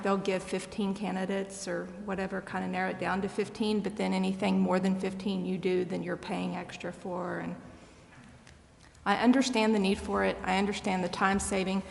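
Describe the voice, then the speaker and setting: A woman's voice: medium pitch, creaky. An American woman speaking candidly and questioningly as a council member in a public meeting discussion. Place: indoor council chamber. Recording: echoey meeting chamber with noticeable background noise.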